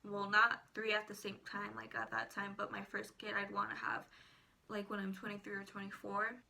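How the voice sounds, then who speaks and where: A woman's voice: medium pitch, monotone, moderate pace, gravelly, pushed and bright. An eighteen-year-old woman, a native Spanish speaker, chatting casually to viewers as a vlogger. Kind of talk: casual personal chat to viewers.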